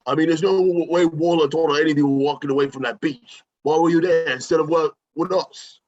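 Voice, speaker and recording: A man playing a gruff, guarded mercenary father with a husky voice and terse delivery in an amateur table read. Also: a little high, steady tone, brisk, a little mellow, lightly acted. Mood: probing. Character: gruff, guarded middle-aged mercenary father, quietly protective. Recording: dull lo-fi microphone.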